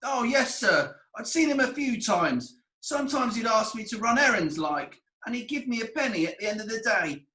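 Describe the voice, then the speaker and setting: A man's voice: very high voice, expressive, moderate pace, hardly any pauses, sharp tone, reverberant, heavily acted, rough recording. A man playing a cheeky eight-year-old errand boy in a comic courtroom play, with a Yorkshire accent and a hesitant, deferential manner. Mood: nervous, deferential. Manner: hesitant, deferential, self-correcting.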